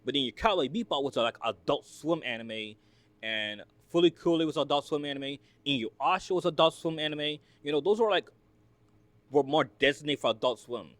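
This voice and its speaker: A man's voice: fairly high pitch, normal speed, fairly bright tone, no echo. A man from the United States hosting a reaction video, talking casually in a rambling, filler-heavy, chatty way.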